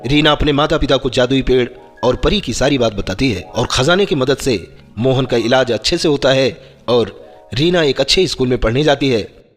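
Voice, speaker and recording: A man's voice: mid-range voice, normal speed. A man narrating a scripted moral story for children as a storyteller. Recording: long reverb, music bed.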